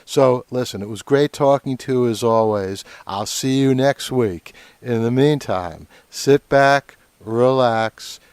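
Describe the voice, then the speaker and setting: A man's voice: mid-range voice, slow, hardly any pauses, darkish tone, clean tone, dry recording. Dry-sounding male podcast host. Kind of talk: casual sign-off.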